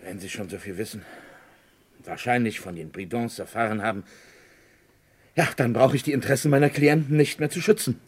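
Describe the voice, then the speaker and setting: A man's voice: medium pitch, a little fast, long pauses, slightly rough, lightly acted. A man playing a formal, self-possessed and condescending small-town lawyer in a radio crime drama. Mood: guarded, formal.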